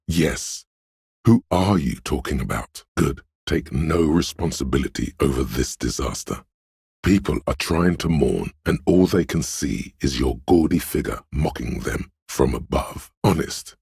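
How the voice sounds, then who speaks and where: A man voicing a suave, money-minded inner voice of vanity in a video game, very deep, gravelly and husky, slyly persuasive. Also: a little mellow, no room sound, studio quality, full character voice. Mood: dry reproach. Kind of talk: video game voice acting, second-person inner monologue.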